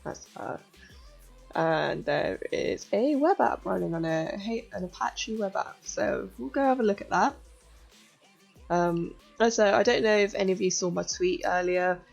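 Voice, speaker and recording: Young woman, twenties, slightly croaky, chatty and relaxed streamer, mid-range pitch, faint background music. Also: a little slow. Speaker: streamer teaching hacking. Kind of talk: casual live-stream chat and tutorial.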